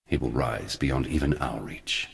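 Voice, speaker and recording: A man playing an ancient, forgotten god in a video game, somber and weary, speaking solemnly and deliberately in archaic, portentous phrases. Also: rumbling low, creaky, big-room sound, exaggerated voice. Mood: grave. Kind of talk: scripted video game character dialogue.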